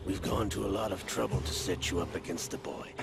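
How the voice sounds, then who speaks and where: A gravelly, scheming older villain. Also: male voice, deep voice, background noise. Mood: taunting, triumphant. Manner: theatrical, condescending, drawn-out emphasis. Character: smug, scheming older gunslinger villain, cruel and theatrical. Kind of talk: dramatic video game villain monologue. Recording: loud dramatic score behind. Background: cinematic game music.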